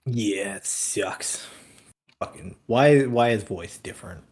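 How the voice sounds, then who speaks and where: A man's voice: fairly low pitch, slow pace, a little mellow, slight background noise. A man with a slight lisp, chatting casually as a guest on a live stream, his voice worn from a long day of talking. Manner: self-deprecating, swears casually.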